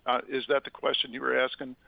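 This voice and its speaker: A man from agency staff answering a commissioner's question in a halting way, with many fillers. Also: mid-range voice, level tone, vocal fry, no room sound. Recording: muffled lo-fi line with faint hiss.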